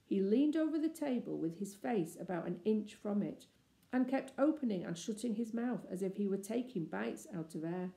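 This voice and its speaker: Very mellow woman reading stories, echoey room. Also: medium pitch, expressive, moderate pace, creaky. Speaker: storybook reader. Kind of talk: expressive narration of a children's story. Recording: roomy, echoey indoor sound.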